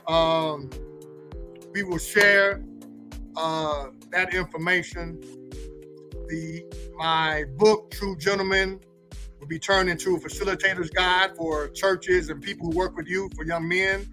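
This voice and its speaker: Male pastor for casual talk show, high bright voice, colloquial and preacherly, faint music behind. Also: steady tone, takes it slowly. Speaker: pastor, guest co-host.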